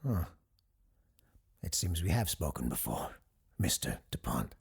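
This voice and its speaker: An actor playing a learned, cautious doctor who hosts a radio show in an audio drama, husky-voiced, hesitant and earnest. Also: male voice, extremely low pitch, very animated, a little slow, heavily acted. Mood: puzzled, hesitant.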